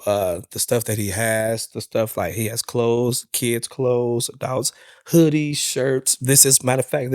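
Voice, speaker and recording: A man's voice: mid-range voice, a little mellow, no room sound, studio quality. A man hosting an interview in a casual, chatty, colloquial way. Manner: laid-back, chatty, colloquial. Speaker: podcast host.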